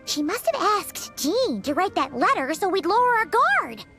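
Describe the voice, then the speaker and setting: A woman voicing a tiny, excitable childlike fairy companion in a video game, with a squeaky voice and exclamatory delivery. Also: normal speed, music in the background, full character voice. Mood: knowing. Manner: exclamatory, refers to herself in third person. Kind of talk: video game character acting.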